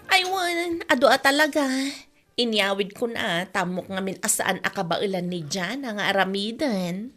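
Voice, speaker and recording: A woman's voice: mid-range voice, lively intonation, normal speed, a bit bright, faint music behind, natural voice. A woman with an Ilocano accent playing an eager, self-important show-off office worker in a radio comedy drama. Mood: boastful.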